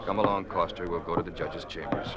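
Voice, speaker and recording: An actor playing a deferential, servile defense lawyer in an old radio drama, speaking in formal courtroom oratory. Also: male voice, deep voice, steady tone, quick pace, very noisy, natural voice. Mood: deferential, uneasy. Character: deferential, servile male defense lawyer doing the regime's bidding.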